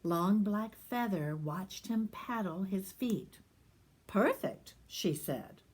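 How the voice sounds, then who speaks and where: Woman, reading teacher, picture book read-aloud, slow pace, gentle, soft, whispery at times. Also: medium pitch, husky.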